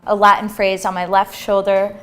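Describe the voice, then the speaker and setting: A woman's voice: mid-range voice, completely flat delivery, moderate pace, background noise. A young adult woman casually listing her tattoos in an interview. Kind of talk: interview answers.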